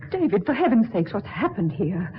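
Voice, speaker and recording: A woman's voice: medium pitch, sing-song, moderate pace, noisy background, natural voice. A woman playing a grieving, anxious, devoted widow in 1940s radio horror, plaintive and dramatic. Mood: alarmed. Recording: thin, phone-like 1940s radio recording.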